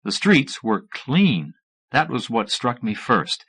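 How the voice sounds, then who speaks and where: A man narrates a novel in a mid-pitched voice with lively, swinging intonation. He reads as a practised audiobook narrator, giving characters their own voices. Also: slow pace, slightly rough. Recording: 1980s audiobook cassette recording.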